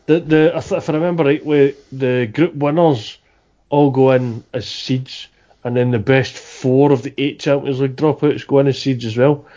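A man's voice: medium pitch, fairly even intonation, normal speed, warm tone. A man chatting casually about football on a podcast, with hesitant restarts. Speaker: podcast co-host. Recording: dull lo-fi sound.

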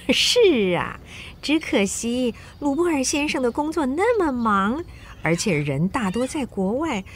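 An actress in a radio drama playing a boastful, teasing French society wife with coy, theatrical, drawn-out exclamations. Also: female voice, high voice, big pitch swings, slight background noise, exaggerated voice.

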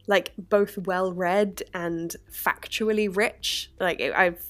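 A young British woman hosting a podcast, talking in a thoughtful, reflective way. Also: lowish voice. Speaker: podcast host. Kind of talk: casual discussion.

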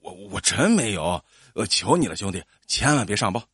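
A man's voice: medium pitch, sing-song, a little fast, a bit bright, slightly rough, no room sound, clean studio sound, voice pushed down. A man voicing a hapless, scheming farmer who stammers, sighs and pleads nervously, in a storytelling performance. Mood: pleading.

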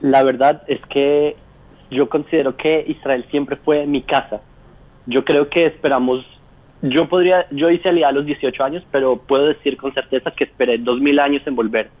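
A young man answers an interviewer over a thin, phone-like line. He speaks at an ordinary pitch and states his views earnestly and with firm conviction. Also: fairly even intonation, moderate pace. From Colombia, Bogotá. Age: 20s. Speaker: interview guest.

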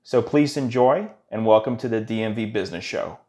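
A man's voice: on the low side, a little fast, mellow. Man hosting an interview show. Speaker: podcast host.

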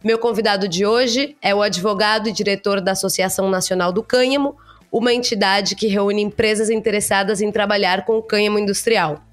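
A woman's voice: medium pitch, steady tone, fairly quick. Brazilian woman hosting a podcast interview. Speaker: podcast host.

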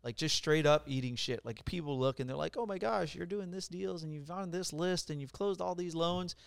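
A man's voice: a little high, a little fast, gravelly, smooth, no echo. A middle-aged man from Puerto Rico, a mortgage lender, talking bluntly and with energy as a podcast guest. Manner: blunt, profane, energetic storytelling. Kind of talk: casual podcast interview. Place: office studio.

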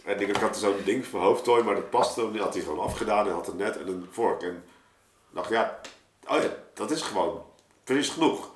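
Low-pitched man chatting in an echoey room. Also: brisk, a little hiss or hum. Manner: informal, slangy, meandering. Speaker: artist, podcast guest. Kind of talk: casual reflective group conversation.

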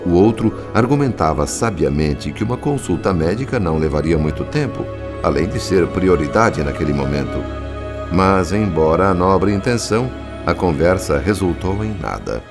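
A man's voice: low-pitched, expressive, moderate pace, over music. A man playing an earnest narrator in a radio drama, telling the story in formal, literary, dramatic phrasing.

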